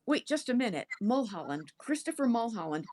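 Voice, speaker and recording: A woman's voice: fairly high pitch, sing-song, fairly quick, darkish tone. A woman voicing a courteous, puzzled seventeen-year-old boy lodger in a story, speaking a little hesitantly.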